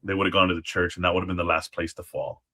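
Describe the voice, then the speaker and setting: A man with a low-pitched voice speaks as a guest in a casual interview. He explains things informally and haltingly, often trailing into asides as he tells his stories. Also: little pitch movement, pushed and bright. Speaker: guest, former Alamo guard.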